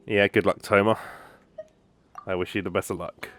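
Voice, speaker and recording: A man's voice: sits low, steady tone, normal speed, fairly bright tone. A man giving casual game commentary as a video host, musing aloud and reacting to the dialogue. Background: faint game music.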